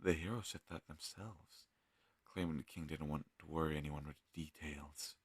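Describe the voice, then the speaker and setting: A man playing an earnest, gentle healer mage in a fantasy audio roleplay, talking hesitantly and thoughtfully to a travel companion. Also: rumbling low, fairly even intonation, normal speed, husky, dry recording. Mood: puzzled, reasoning. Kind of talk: scripted fantasy audio roleplay addressed to the listener.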